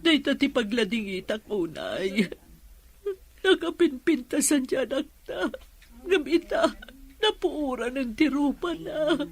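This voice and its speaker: A woman's voice: high-pitched, expressive, unhurried. A Filipino-accented woman playing a worried, anguished mother in a radio drama, speaking in a plaintive, emotional way. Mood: tearful, anguished.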